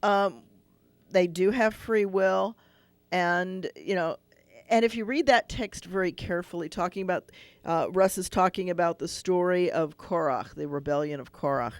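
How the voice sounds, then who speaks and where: A man's voice: sits high, moderate pace, smooth, no echo. A man hosting a radio call-in show as a rabbi, explaining at length and thinking aloud with restarts.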